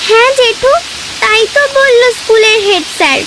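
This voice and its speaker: A woman's voice: extremely high pitch, animated, moderate pace, noisy background. A woman playing an eager, anxious little village child in a Bengali cartoon story.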